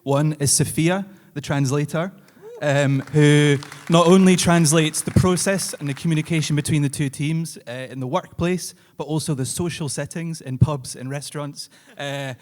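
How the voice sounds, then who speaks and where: A man's voice: medium pitch, darkish tone. Male, medium register, informal acceptance speaker at a live ceremony. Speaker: theatre-maker accepting an award. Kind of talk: thank-you speech. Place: live on stage at an awards ceremony.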